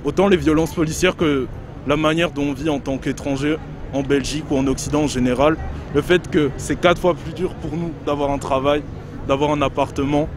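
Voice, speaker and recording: A man protester explaining his reasons in a steady, fluent way. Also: fairly high pitch, a little fast. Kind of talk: interview answer. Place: outdoors in the street. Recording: street noise.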